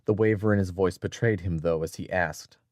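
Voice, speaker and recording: A man's voice: low voice, steady tone, a little fast, a little mellow, dry recording. A man narrating a dramatic audiobook from a script as a storyteller.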